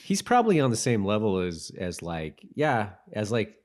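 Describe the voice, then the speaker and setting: A man's voice: on the low side, very animated, normal speed. A man co-hosting a casual podcast chat, often trailing off and rephrasing mid-thought. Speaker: podcast co-host.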